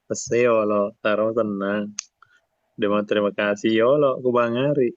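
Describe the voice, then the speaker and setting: A fairly low-voiced man hosts a casual live stream and greets viewers as they join. The sound is dry and close, muffled like cheap audio. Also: fairly even intonation, moderate pace, no room sound.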